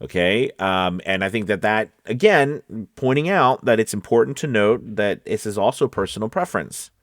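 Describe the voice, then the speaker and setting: Male coach, lower register, casual conversational tutorial delivery, very dry close studio recording. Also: expressive, moderate pace, no echo. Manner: conversational, checks in with listeners often. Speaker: voice-over coach and host. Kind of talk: casual talking-to-camera tutorial.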